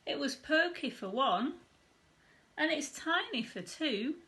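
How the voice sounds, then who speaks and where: A grumbling little old lady from a storybook, as a woman reader puts her on, high and bright. She is exasperated and plaintive, dragging out her words slowly and exclaiming with drama. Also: animated, heavily acted. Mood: pleading, fretful. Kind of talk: children's storybook character reading.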